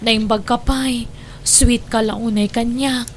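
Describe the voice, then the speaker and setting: A woman's voice: a little high, steady tone, takes it slowly, slight background noise. A woman acting in a radio drama as a defensive married daughter still drawn to an old flame. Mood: coy, affectionate.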